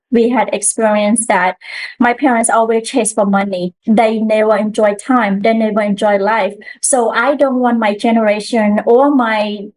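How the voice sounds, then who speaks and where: A woman's voice: a little high, low-quality recording. A woman, a guest telling her story earnestly in a casual interview, with restarts. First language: Khmer.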